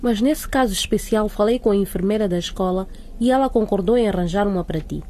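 A woman's voice: mid-range voice, fast talker, warm tone, lightly acted. A woman playing a strict but fair school headmistress in a radio drama, formal and authoritative. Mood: firm.

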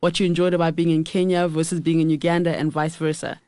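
A woman hosts a podcast in a somewhat low voice. She introduces her guest and keeps the talk moving with steady, encouraging affirmations. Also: fast talker. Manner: engaged, affirming with frequent backchannel. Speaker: podcast host. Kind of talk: podcast interview and scripted intro.

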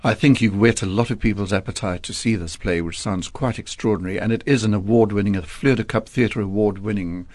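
A man hosting a radio interview, conversational and quick with short affirmations. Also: on the low side, darkish tone, no echo. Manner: conversational, many brief affirmations. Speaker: radio host.

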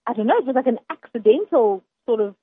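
A South African woman with a husky, slightly high voice, talking casually and emphatically as a guest chef, laughing often. The sound is dry and narrow, like a radio line heard over a phone. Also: animated, fast talker, no echo. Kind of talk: casual interview chat.

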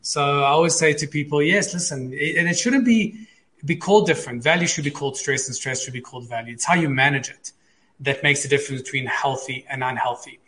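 A man's voice: on the high side, brisk, pushed and bright. A man speaking as an expert psychologist guest, explaining conversationally and repeating key phrases for emphasis.

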